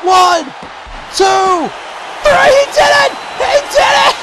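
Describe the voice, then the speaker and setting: A man calling a video game wrestling match play-by-play, shouting excitedly and going hoarse. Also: slow pace, crowd noise, background noise. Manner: shouting, high-pitched and strained, losing his voice. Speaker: commentator.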